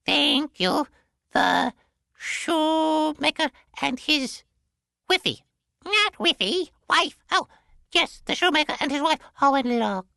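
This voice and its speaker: Squeaky, excitable little storybook elf. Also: male voice, takes it slowly, gravelly, no room sound, studio quality, heavily acted. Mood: delighted. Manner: stammering, repeating, squeaky. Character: tiny excitable, sweet-natured male storybook elves.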